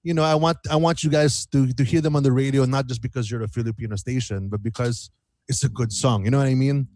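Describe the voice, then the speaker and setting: A man chatting casually as a singer-songwriter guest in an interview, animated and rambling, repeating phrases for emphasis. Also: mid-range voice, fast, no echo, studio quality. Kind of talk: casual radio interview chat.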